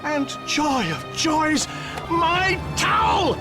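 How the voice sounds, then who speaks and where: An actor playing a flustered, excitable ordinary man in a comic radio drama, stammering and exclaiming as he talks to himself. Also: extremely high pitch, full character voice. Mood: delighted.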